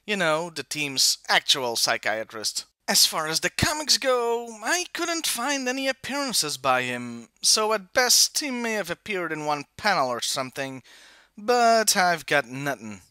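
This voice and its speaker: A man narrates a scripted toy review with wry, opinionated delivery. His voice is bright and very lively in pitch, and the sound is dry and close. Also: measured pace, clear, resonant tone, dry recording.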